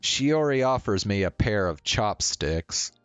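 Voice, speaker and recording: A streamer narrating a visual novel aloud in a measured, storybook-like reading. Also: mid-range voice, animated, smooth. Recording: roomy sound, faint music behind. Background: faint game music.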